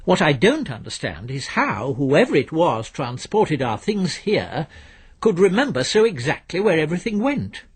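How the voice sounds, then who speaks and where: A man's voice: fairly high pitch, sing-song, normal speed, husky, voice pushed up, exaggerated voice. A man voicing a pompous middle-aged solicitor, formal, pedantic and easily indignant, in a radio story. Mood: stiff, fussy.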